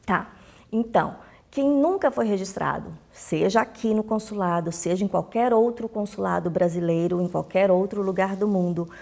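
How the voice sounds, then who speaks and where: A woman's voice: medium pitch, moderate pace, vocal fry, a little mellow. A woman with a Brazilian Portuguese accent explaining rules emphatically as a consular official, in informal interview answers with acted-out anecdotes. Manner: emphatic, repeats key points, acts out dialogues.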